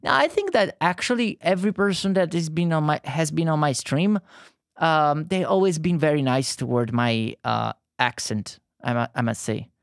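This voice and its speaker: Southern Italian male streamer, thirties, halting friendly chat, dry close sound. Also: on the high side, vocal fry, no room sound. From Italy. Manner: halting, frequent restarts and fillers, friendly. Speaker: art streamer. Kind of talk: casual live-stream chat while painting.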